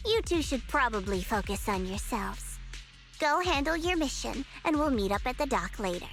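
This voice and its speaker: A woman voicing a proud young female investigator in an anime-style game, smug, teasing and brisk in manner. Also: on the high side, big pitch swings, a bit bright, faint music behind. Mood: boastful. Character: proud, haughty young female investigator boasting of her record.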